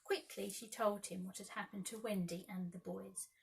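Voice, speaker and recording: A woman narrating a children's storybook aloud with expressive, deliberate dramatic phrasing. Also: mid-range voice, gravelly, slight background noise. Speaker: primary school teacher reading aloud.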